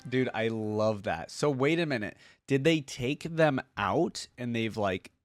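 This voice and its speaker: A man's voice: mid-range voice, animated, a little slow, no echo. A man hosting a reaction video, giving casual fan commentary. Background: music.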